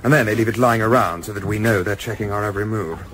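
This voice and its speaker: Composed gentleman adventurer, played by a man, noisy ambience. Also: lowish voice, fast, close to an everyday voice. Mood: wry, conspiratorial. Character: observant, composed gentleman adventurer recounting a spy mission. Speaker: lead actor narrating and playing a part. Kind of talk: radio play narration and dialogue.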